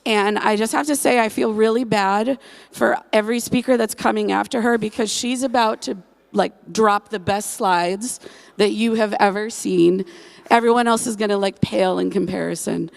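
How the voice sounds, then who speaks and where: A woman's voice: mid-range voice, steady tone, moderate pace, creaky. A woman hosting a conference, introducing the next speaker casually and with enthusiasm. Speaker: conference host.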